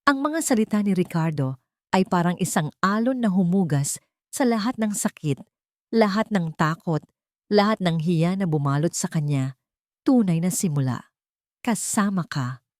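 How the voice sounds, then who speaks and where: Female storyteller for romance drama: lowish, mellow voice, sentimental scripted delivery. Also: no echo, studio quality.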